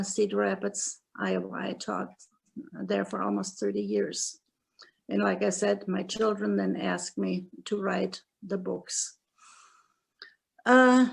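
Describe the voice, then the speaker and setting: Slow, gravelly older German-accented woman. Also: mid-range voice, poor audio. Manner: slow, halting, many pauses and fillers. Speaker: author. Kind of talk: interview, recounting memoir.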